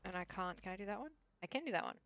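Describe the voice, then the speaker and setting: A woman's voice: medium pitch, lively intonation, very fast, creaky, fairly bright tone, slight background noise. A woman chatting casually on a gaming livestream, thinking aloud as she talks herself through choices. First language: English. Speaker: streamer, therapist answering questions.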